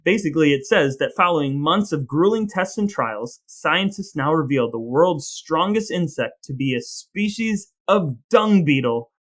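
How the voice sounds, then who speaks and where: A man's voice: on the high side, animated, normal speed, hardly any pauses. A man co-hosting a podcast, chatting casually about trivia and research in a wry, playful, rambling way. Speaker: podcast co-host.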